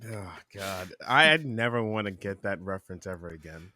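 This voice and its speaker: A man's voice: deep voice, animated, normal speed, pushed and bright, dry recording, clean studio sound. A man chiming in on a casual podcast with short, blunt reactions and the occasional curse.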